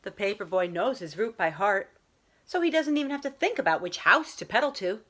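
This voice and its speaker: A woman's voice: medium pitch, lively intonation, brisk, a bit bright. A woman reading a picture book aloud as a school librarian, talking to young children in simple, explanatory phrasing.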